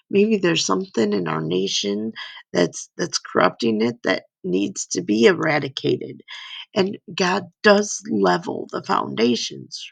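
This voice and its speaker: An older woman with a hoarse voice gives an earnest faith-based motivational talk from her slides, rambling and often asking "right?" and "you know?". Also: lowish voice, no room sound. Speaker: speaker giving a slide talk.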